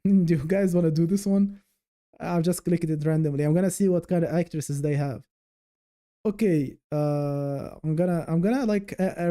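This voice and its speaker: A man's voice: a little high, dark tone. A man hosting a casual livestream, rambling and thinking aloud as he chats with viewers. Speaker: livestream host.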